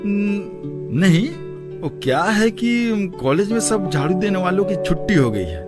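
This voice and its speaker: Man playing cheeky young student, high male voice, brash, mocking, cartoon story, music backdrop. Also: big pitch swings, unhurried. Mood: teasing.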